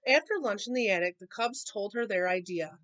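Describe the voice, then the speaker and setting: Woman reading a storybook with lively pitch. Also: a little fast, no room sound. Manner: expressive reading, acting out dialogue. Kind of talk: children's picture book read-aloud.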